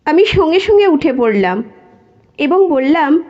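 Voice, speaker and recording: High-pitched, slow-paced woman storyteller. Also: big pitch swings. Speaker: story narrator. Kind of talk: scripted first-person story narration. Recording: dull lo-fi sound.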